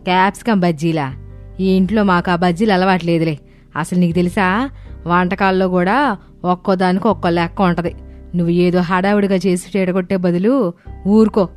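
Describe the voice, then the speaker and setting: A woman playing an older, domineering, nagging mother-in-law in an animated story, scornful and complaining. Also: on the low side, fairly quick, lightly acted. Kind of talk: animated moral story dialogue. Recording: voice over prominent music bed.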